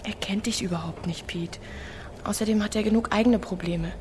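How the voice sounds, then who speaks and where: A woman's voice: mid-range voice, brisk, darkish tone, a little hiss or hum, natural voice. A woman playing a wary, blunt but shyly affectionate teenage village girl, speaking tersely and dryly in a radio drama. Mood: uneasy, insistent. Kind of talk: naturalistic radio drama dialogue.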